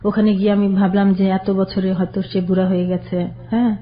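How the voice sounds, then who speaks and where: An older woman, a guest on a radio show, telling her own life story in a plain, halting way. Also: medium pitch, little pitch movement, crowd noise. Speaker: caller guest. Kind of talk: personal storytelling. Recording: dull lo-fi radio sound.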